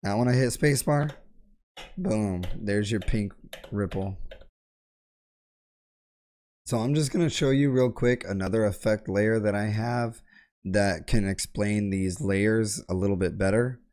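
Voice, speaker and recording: Hoarse young man with a sore throat. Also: lowish voice, unhurried, pauses often. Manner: relaxed explaining, frequent throat clearing. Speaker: tech tutorial host. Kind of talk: casual screen-share tutorial. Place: home gaming room. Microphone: boom mic close to face, headset on.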